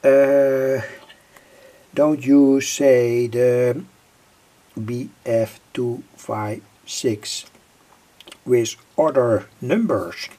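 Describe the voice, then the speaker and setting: A middle-aged man with a gravelly, husky voice giving an informal, halting technical explanation as a hobbyist presenter. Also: medium pitch, fairly even intonation, dark tone. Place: indoor home electronics workbench.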